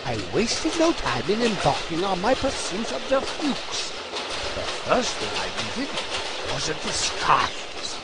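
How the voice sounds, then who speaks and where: An actor playing a pompous male detective, telling his exploits theatrically and deliberately in a comic radio play. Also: high-pitched, wildly swooping pitch, exaggerated voice, low-quality recording. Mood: self-assured storytelling.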